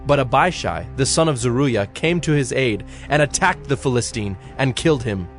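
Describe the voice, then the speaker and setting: A man's voice: medium pitch, expressive, moderate pace. Solemn male scripture narrator over faint music.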